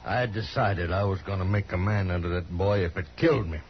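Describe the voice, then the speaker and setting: A man's voice: deep voice, fairly quick, natural voice. A man playing a boastful, stubborn gunfighter with a folksy, rustic way of talking in a 1950s radio western. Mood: proud, excited. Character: boastful, stubborn older gunman proud of his boy. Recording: dull vintage radio recording with hiss.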